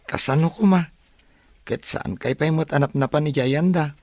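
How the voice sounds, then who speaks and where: A man playing a grown son reassuring his worried mother, with a gravelly voice, in a Philippine radio drama. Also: on the high side, a little slow, a little mellow, no room sound, close to an everyday voice.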